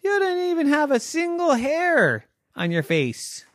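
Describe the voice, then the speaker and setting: A woman's voice: high-pitched, wildly swooping pitch, unhurried, pitched above the performer's own voice, heavily acted. A woman voicing a cranky old giant squid in a children's story, grumpy and scolding.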